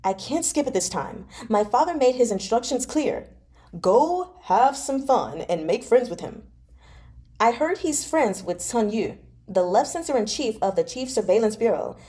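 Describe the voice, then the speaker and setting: Woman voicing an eager, cajoling young nobleman, high-pitched. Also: expressive, moderate pace, mellow, natural voice. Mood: eager, persuasive. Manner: wheedling, insistent. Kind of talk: dramatized audiobook-style reading of a novel.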